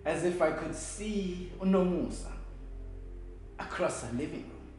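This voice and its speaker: An actor with a South African accent playing a grieving, bitter man who delivers a halting, emotive funeral eulogy as a theatrical monologue. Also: high voice, lively intonation, a little mellow. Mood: solemn, grieving. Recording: very echoey room, music behind.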